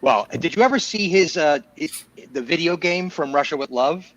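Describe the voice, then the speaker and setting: A man with a slightly high voice, telling a story with enthusiasm and plenty of hesitant fillers. He comes through on muffled, lo-fi call audio. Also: lively intonation, moderate pace, a bit bright. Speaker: panelist. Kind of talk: casual panel chat.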